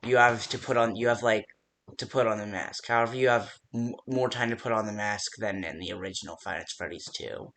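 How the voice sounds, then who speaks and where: A man's voice: fairly low pitch, vocal fry, fairly bright tone. A man playing a nervous, hesitant coworker who leaves stammered security tips by phone in an amateur horror game. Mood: instructive, casual. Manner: hesitant, halting, stammering delivery. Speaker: voice actor in fan-made game.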